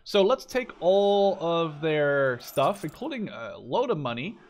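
A man's voice: fairly high pitch, sing-song, unhurried. A young man giving casual, informal let's play commentary on a game. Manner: informal, sweary. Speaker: gaming video creator.

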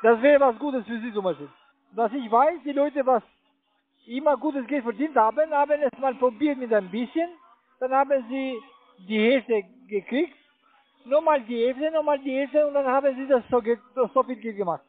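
A very high-voiced man with a foreign accent works through a sales call in halting, fumbling phrases. He is not a native speaker of the language. The sound is a tinny, narrow phone line. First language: not German. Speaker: telephone investment salesman.

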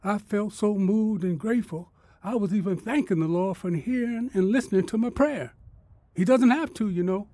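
High-voiced folksy farmer storyteller. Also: male voice, normal speed, dark tone, no echo. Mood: moved, earnest. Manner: folksy rural phrasing. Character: humbled older farmer telling his conversion story, earnest and folksy. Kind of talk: radio drama acting.

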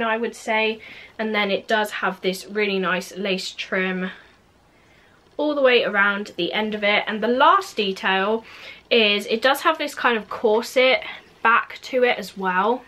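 A young woman talks through a clothing haul in her bedroom, with a bright, mid-pitched voice. She is just getting over a cold and chats loosely, filling her sentences with "like.". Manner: chatty, lots of "like" fillers. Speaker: fashion vlogger. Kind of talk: casual clothing haul review. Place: bedroom at home, indoors.

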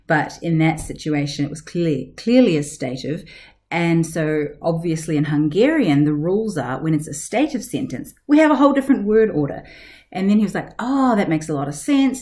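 A woman's voice: fairly low pitch, darkish tone. A woman in her forties, a language teacher hosting a podcast, chatting and explaining at home into a webcam, digressing with lots of fillers. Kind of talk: casual explanatory podcast talk. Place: living room at home. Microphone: webcam at desk.

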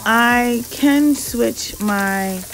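A woman giving an unhurried, step-by-step cooking narration in a home kitchen, in a homely, instructional way. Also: a little high. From Jamaican parents. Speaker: cooking vlogger. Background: background music.

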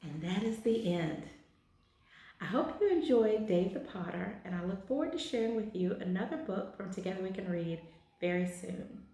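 A woman in her forties reading a children's picture book aloud with deliberate, expressive phrasing in an office. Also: mid-range voice. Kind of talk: children's storybook read-aloud. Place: small indoor office. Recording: very echoey, roomy sound.

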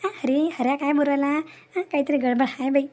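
Shrill-high, nosy village busybody played by a woman. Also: steady tone, a little fast, close to an everyday voice. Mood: scheming. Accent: rural Maharashtrian. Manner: prying, sharp-tongued, quarrelsome. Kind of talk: Marathi comedy cartoon dialogue.

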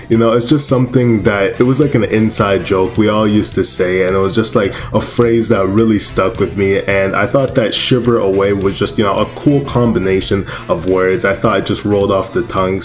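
Teenage boy, deep low voice, chatty and self-mocking, casual vlog talk, faint music underneath. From Canada. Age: teens. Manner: chatty, laughs at himself. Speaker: YouTuber.

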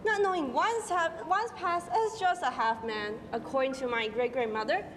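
A teenage girl with a Taiwanese accent playing a caring mother in a school stage play, with a deliberate delivery. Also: high voice, background noise, natural voice. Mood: gentle. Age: teens. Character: caring mother, gentle and proud. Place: large school auditorium stage. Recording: echoey hall with music behind.

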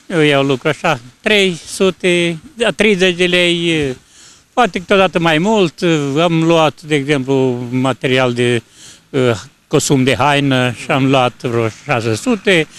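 An elderly man answering a street interview haltingly, searching for words, into a handheld microphone. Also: on the high side, fairly bright tone. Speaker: passer-by interviewee. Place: outdoors on a snowy street. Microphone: reporter's handheld microphone.

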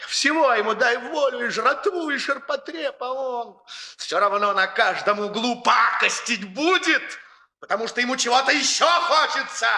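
A man's voice: very high voice, sharp tone, heavily acted. A man acting in a 1960s radio play as a stern, moralizing boss, hectoring and emphatic as he scolds the young.

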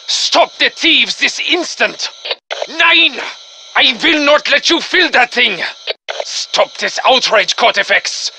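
Sharp, high-voiced German Nazi villain. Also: male voice, animated, takes it slowly, gravelly, slight background noise, heavily acted. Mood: outraged. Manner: menacing, pompous, gloating. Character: villainous Nazi officer commanding undead soldiers, male, arrogant, vengeful. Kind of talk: theatrical video game villain acting.

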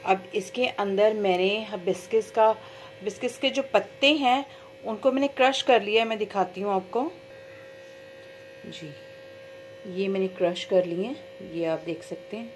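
A woman's voice: mid-range voice, measured pace, slight background noise. A woman who runs a beauty salon, chatting step by step through a homemade hair oil recipe in her kitchen, with small fillers as she works. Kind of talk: home cooking-style hair oil tutorial. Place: home kitchen at stove.

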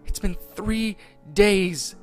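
A man's voice: high-pitched, very slow, faint music behind, voice pushed up. A man voicing an anxious, upset young male sea sponge who frets in worked-up outbursts.

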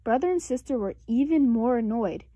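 A woman narrates a children's picture book aloud. Her voice is fairly high and expressive, with deliberate emphasis, recorded dry and close. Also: no room sound. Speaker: storybook reader.

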